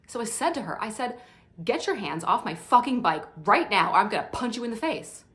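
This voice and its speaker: A woman's voice: on the high side, big pitch swings, fairly quick, a bit bright. A woman performing a theatrical monologue as a breezy, self-absorbed party guest who rambles dramatically. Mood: indignant.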